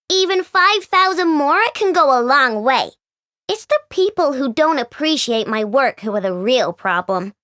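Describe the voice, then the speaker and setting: A woman's voice: very high-pitched, no echo, clean studio sound, full character voice. A woman voicing a bubbly, chatty young girl who builds robots, in game voice lines. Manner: bubbly, lively, quick mood swings.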